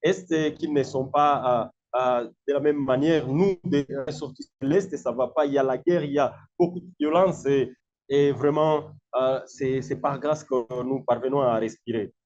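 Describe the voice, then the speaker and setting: A man's voice: mid-range voice, moderate pace, darkish tone, highs cut off. Hesitant Congolese man on a call. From Democratic Republic of the Congo. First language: French. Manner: hesitant, many fillers. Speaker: guest. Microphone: video call.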